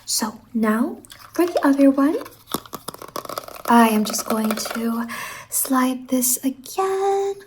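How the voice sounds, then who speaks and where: A woman playing a quirky, doting fantasy salon worker in an ASMR roleplay, speaking softly and slipping in and out of whispers. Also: high-pitched, lively intonation, slow, long pauses, slight background noise. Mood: gentle, encouraging. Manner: soft-spoken, drifting in and out of whispers. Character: Mazey, quirky doting female fever-dream salon worker.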